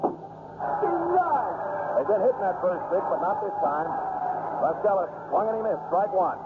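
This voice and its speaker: An actor playing an excited baseball play-by-play announcer with a dramatic manner in a 1950s radio drama. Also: male voice, high voice, a little slow. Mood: excited, tense. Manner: dramatic, folksy showmanship. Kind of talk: play-by-play commentary. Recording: noisy, tinny 1950s radio broadcast.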